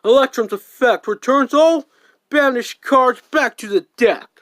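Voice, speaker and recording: A theatrical amateur voice actor performs anime-style card duel lines for a fan dub. The delivery is declamatory and unhurried, recorded very dry with little room sound. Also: high-pitched, fairly bright tone, dry recording.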